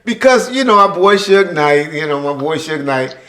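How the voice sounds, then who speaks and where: Higher-pitched American man, casual podcast host. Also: expressive, normal speed, background noise. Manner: informal, slangy, emphatic. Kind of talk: casual recollection and chat.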